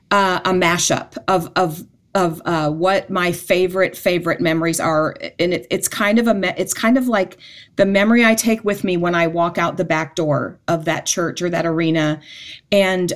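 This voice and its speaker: Woman, 40s, lower voice, casual podcast guest, sincere storyteller who restarts often. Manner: heartfelt storytelling with frequent restarts. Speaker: events ministry guest.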